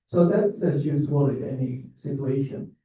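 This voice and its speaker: A man from Indonesia speaking on a panel, pausing and restarting phrases often in loose non-native grammar. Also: medium pitch, mellow. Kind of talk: panel discussion. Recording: echoey hall, mic at a distance.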